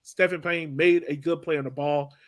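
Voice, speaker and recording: A man's voice: on the high side, fairly even intonation, normal speed, dry recording, studio quality. A man hosting a casual sports podcast, talking through a game in conversational analysis. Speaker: podcast host moderating a soccer discussion.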